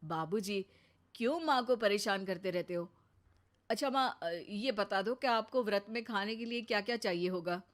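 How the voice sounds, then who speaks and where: A woman's voice: mid-range voice, normal speed, lightly acted. Woman voicing a mild, coaxing grown son. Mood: placating. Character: caring, reasonable adult son.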